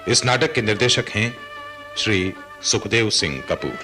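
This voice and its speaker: Male announcer for radio play introduction; formal, unhurried, somewhat low pitch, over prominent music. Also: animated.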